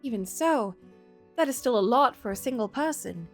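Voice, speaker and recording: A woman reads a curious, well-spoken young lady from a visual novel who is new to farm chores. The voice is high, the phrasing formal and inquisitive, with music behind. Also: animated, moderate pace. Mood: polite, concerned. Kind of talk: visual novel character reading. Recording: background music.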